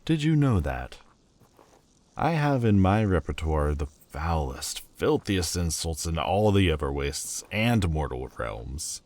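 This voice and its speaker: A man's voice: sits low, sing-song, slow pace, a little mellow, heavily acted. A man voicing a proud, prickly male Lord of Demons in a fantasy audio roleplay, haughty and sardonic with a grudging tenderness. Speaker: voice actor.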